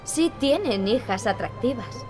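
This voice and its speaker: An actress playing a solemn, sharp-tongued young heroine in a video game. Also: female voice, fairly high pitch, expressive, a little slow. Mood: dry, reproachful. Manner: solemn, dry. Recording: game music behind the voice. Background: game music.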